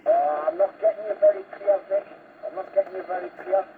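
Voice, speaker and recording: Male CB operator, high animated voice, casual chat on a tinny radio link. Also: fairly quick. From northern England. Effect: two-way radio transmission. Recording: tinny, noisy long-distance CB radio.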